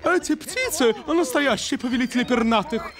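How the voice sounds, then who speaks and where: Cartoon animal nature expert and agent: squeaky-high, eager, cheeky, explanatory. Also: male voice, animated, brisk. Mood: eagerly explaining. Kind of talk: cartoon dub. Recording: music under the voice.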